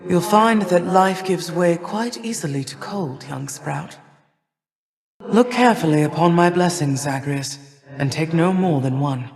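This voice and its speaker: A woman playing an imperious, cold older goddess of winter and harvest in a video game, with stately, formal, measured delivery. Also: lowish voice, gravelly. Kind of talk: theatrical video game character lines. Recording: long reverberant hall echo.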